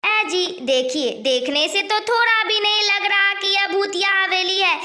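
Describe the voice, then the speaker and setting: A woman's voice: very high-pitched, a little slow, bright, forceful tone, full character voice. A woman voicing an eager, practical, devoted wife in a cartoon story, earnest and urging. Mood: admiring. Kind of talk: Hindi cartoon story dialogue. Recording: roomy echo.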